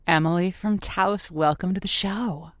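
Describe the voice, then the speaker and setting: A woman's voice: lowish voice, unhurried, no echo, studio quality, lightly acted. A woman playing an upbeat, encouraging matchmaking host on a radio comedy, chatty and playful with callers.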